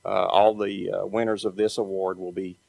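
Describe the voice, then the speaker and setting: Gravelly low-voiced man at a podium. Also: little pitch movement, a little mellow, no room sound. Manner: halting, many uh fillers and restarts. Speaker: library program manager. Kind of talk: speech at an award event. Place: large banquet hall. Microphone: podium microphone.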